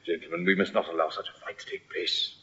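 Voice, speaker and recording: A sensible, commanding major in an old radio drama. He talks quickly in a lowish, husky voice. The recording is dull and band-limited. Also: little pitch movement, sharp tone, heavily acted. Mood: concerned, stern. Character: sensible, authoritative army major, concerned superior officer.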